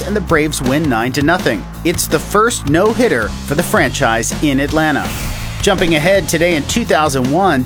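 Man, slightly high voice, upbeat scripted sports narration, radio host, music behind.

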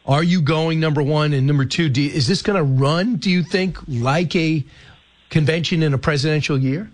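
A man hosting a talk radio show, chatting casually about politics and firing off questions with quick asides. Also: a little high.

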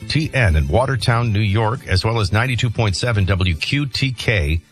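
A man's voice: on the low side, expressive, moderate pace, soft background music. A man hosting a morning radio talk show, speaking in a conversational news commentary style.

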